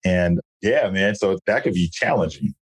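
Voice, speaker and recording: Upbeat low-voiced male podcast host. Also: expressive, normal speed, husky, no echo. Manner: upbeat and friendly, loose slangy talk. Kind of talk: casual interview chat.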